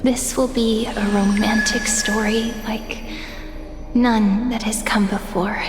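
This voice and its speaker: An actress playing a gentle, dreamy, romantic young woman companion in a video game. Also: fairly high pitch, steady tone, slow, background noise. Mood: tender, wistful. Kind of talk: soft dramatic video game acting. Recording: long echoing reverb under music.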